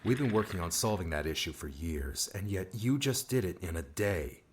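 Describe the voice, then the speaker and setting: A man's voice: sits low, animated, normal speed. A man voicing a dry, needling young comrade in an audiobook, teasing and pointed in manner. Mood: suspicious.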